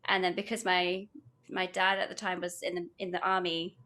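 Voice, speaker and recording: A young British woman talks in a bright, clear voice as a podcast guest. She rambles cheerfully and giggles between thoughts. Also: on the low side, level tone, moderate pace. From United Kingdom. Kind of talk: casual podcast chat, reading aloud.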